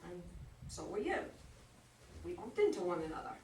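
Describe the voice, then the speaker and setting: A woman's voice: fairly low pitch, very animated, moderate pace, a little mellow. A woman playing a flustered, apologetic, puzzled character in a staged play reading. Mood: flustered, defensive. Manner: hesitant, puzzled. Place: echoey room at a live reading. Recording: echoey room, distant mic, faint noise.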